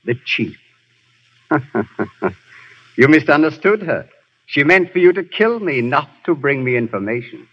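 An actor in a 1930s radio play voicing a suave, sinister male professor who runs a spy ring, with a smooth, menacing manner. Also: on the high side, expressive, measured pace, pauses often. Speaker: villain. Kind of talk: 1930s radio drama acting. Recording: dull 1930s radio broadcast.

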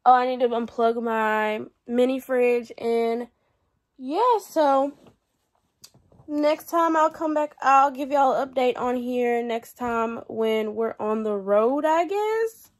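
A young woman, chatting casually into her phone from her bedroom while stuffy from a runny nose. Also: fairly high pitch. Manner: chatty, rambling, quick asides. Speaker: vlogger. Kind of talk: casual vlog chat. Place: bedroom at home. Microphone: phone held low at arm's length.

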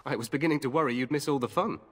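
A man's voice: mid-range voice, racing, fairly bright tone, lightly acted. Hearty young king in a reverberant hall man acting. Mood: jovial, eager. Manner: hearty, regal, exuberant. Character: jovial, eager, glory-hungry young warrior king. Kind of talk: video game voice acting.

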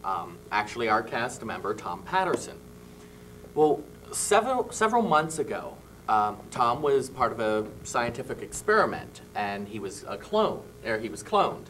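A young man talking as a guest on a small local TV studio show, halting with many fillers and small laughs, into a handheld mic. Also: mid-range voice, sing-song, fairly bright tone, far from the mic, slight background noise, rough recording. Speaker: cast member guest. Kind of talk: casual studio chat. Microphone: handheld mic held by host.

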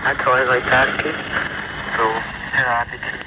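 A man's voice: medium pitch, little pitch movement, normal speed, heavy background noise. A man from Sweden, putting a listener's question on a shortwave radio recording.

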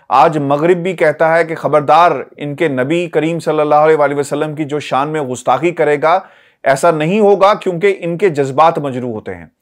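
A man in his forties with a Pakistani accent, delivering earnest, deliberate political commentary to camera with rhetorical emphasis. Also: on the high side. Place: small indoor studio, seated. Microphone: lapel mic.

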